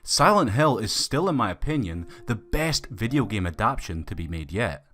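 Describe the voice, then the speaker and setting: A man's voice: on the low side, sing-song, moderate pace, fairly bright tone. A man reading a scripted film review as a video reviewer, with opinionated, colloquial phrasing.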